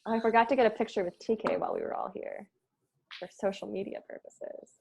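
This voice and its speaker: A woman's voice: on the high side, fairly even intonation, fast, creaky, darkish tone, poor audio. Jokey, informal woman chatting. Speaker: show creator leading a cast table-read meeting. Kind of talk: casual chat.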